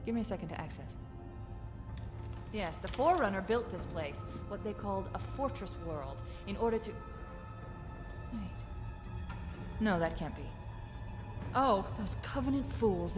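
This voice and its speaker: A woman playing a brilliant, sharp-tongued military AI in a video game, with an American accent and crisp, commanding delivery. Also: medium pitch, animated, moderate pace, long pauses, close to an everyday voice. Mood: impatient, intense. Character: brilliant, self-assured female military AI, urgent and sharp-tongued. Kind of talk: video game cutscene acting. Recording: long hall reverb, music underneath.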